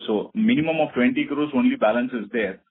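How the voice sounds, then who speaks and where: A man's voice: mid-range voice, brisk, slightly rough. A man with an Indian accent answering investor questions as a finance executive, over a phone line. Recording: tinny narrow phone line.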